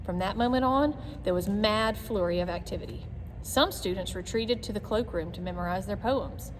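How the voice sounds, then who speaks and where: A woman reading a children's chapter book aloud in an expressive, clearly phrased narration style. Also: medium pitch, moderate pace. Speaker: read-aloud reader. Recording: long echoey reverb, background noise.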